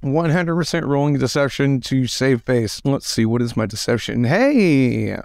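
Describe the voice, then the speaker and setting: A man's voice: mid-range voice, lively intonation, unhurried, vocal fry, darkish tone, no echo, studio quality. A man chatting casually as a player in a tabletop role-playing game, improvising his character's story.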